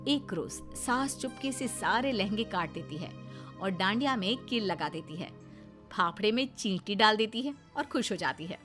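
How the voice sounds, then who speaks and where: A woman reading scripted narration for a cartoon story, with music clearly behind her. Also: mid-range voice, animated, normal speed.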